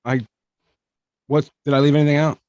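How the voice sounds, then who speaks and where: A man's voice: fairly high pitch, flat delivery, brisk, a little mellow, dry recording, dull audio. A man hosting a comedy podcast, joking and rambling with lots of fillers. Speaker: podcast host. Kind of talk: casual comedy podcast chat.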